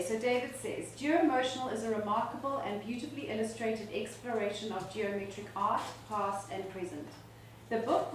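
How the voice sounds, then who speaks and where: South African woman, academic, reading reviews at a launch, far from the mic in a boomy room. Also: heavy reverb, slight background noise. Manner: measured, unhurried reading with small restarts. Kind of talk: reading aloud to an audience. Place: book launch venue, echoey room.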